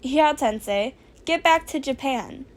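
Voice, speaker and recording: A sarcastic teenage boy hacker played by a woman in a fan fiction audio story. The voice is high and casual, with a snarky, deadpan edge and a flair for drama. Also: unhurried. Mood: casual, reluctant.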